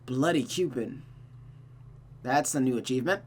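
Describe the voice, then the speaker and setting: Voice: medium pitch, normal speed. A streamer reading a visual novel aloud expressively, with dramatic narration and casual asides. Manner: expressive, often restarts misread sentences.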